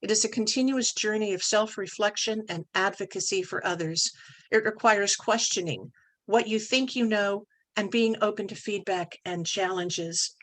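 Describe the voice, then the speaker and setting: A woman presenting at a club training session in a measured, instructive manner, posing rhetorical questions. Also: mid-range voice, fairly bright tone.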